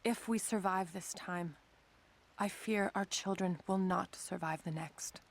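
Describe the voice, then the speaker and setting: Somber, troubled resistance leader. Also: female voice, fairly low pitch, unhurried. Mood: regretful, fearful. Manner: somber, earnest. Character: troubled, devout female resistance leader fearing defeat. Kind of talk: dramatic game document narration.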